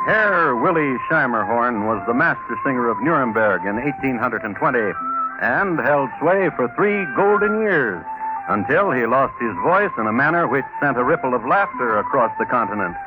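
A man hosting and narrating in 1950s radio crime storytelling style, wry and measured with theatrical pauses. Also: mid-range voice, lively intonation, lo-fi sound, loud music behind the voice. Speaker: host and narrator. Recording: old radio recording with faint noise.

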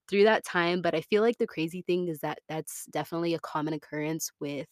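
A woman's voice: sits low, no room sound, clean studio sound. A woman of twenty-one with an American accent, hosting a casual, personal podcast monologue in a chatty, candid, informal way. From Florida, United States. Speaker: podcast host.

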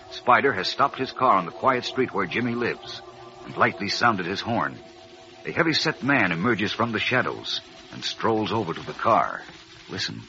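A man's voice: fairly low pitch, sharp tone. A man narrating a 1940s radio serial in a formal, dramatic storytelling manner. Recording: dull old radio recording with music.

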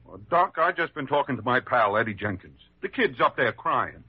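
An actor playing a rough, hot-headed but loyal American ambulance driver in a 1940s radio drama, with slangy, ungrammatical talk. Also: male voice, mid-range voice, big pitch swings, normal speed. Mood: indignant. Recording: dull, muffled vintage radio recording.

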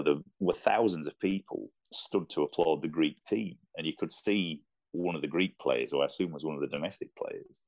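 A man with a Yorkshire accent and a very deep voice. He talks in a casual, reflective way, like a fan giving considered opinions on a sports podcast. His delivery is earnest and conversational.

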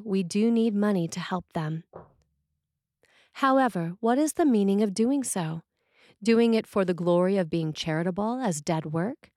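A woman reading a scripted sermon in a measured, deliberate way. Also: mid-range voice, a little mellow, no echo, studio quality. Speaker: sermon reader.

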